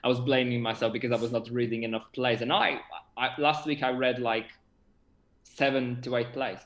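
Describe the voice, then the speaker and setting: A young man with a Portuguese accent speaks in a slightly low voice. He chats casually, often pausing to hunt for the right word. The sound is echoey, as from a room on a video call. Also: little pitch movement, a bit bright. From Madeira, Portugal. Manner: hesitant, searching for words. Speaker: interview guest, theatre actor and director. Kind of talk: casual chat.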